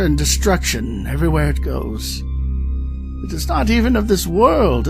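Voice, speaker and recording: A man acts out an aging, world-weary knight from a fantasy radio play. His fairly high voice swings widely as he speaks in grand, old-fashioned phrases, with a creaky edge and music underneath. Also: a little mellow. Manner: formal, grandiose, archaic storytelling. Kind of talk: audio drama acting.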